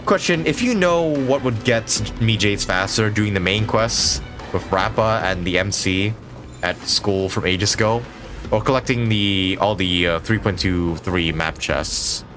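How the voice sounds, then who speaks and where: A man giving casual live commentary on a game, rambling and thinking out loud as he plays. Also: lowish voice, unhurried, over music. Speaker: game streamer.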